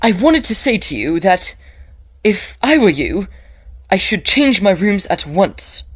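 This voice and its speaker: A woman playing an earnest, anxious young Victorian gentleman student in an audio drama, with formal, old-fashioned phrasing. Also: medium pitch, big pitch swings, unhurried, slightly rough. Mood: grave warning.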